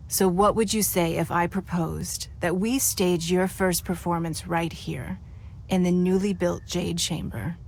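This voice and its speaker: A woman's voice: lowish voice, creaky, a little hiss or hum. A woman playing a poised, powerful lady leader in a video game, gracious, formal and persuasive. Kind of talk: scripted video game voice acting.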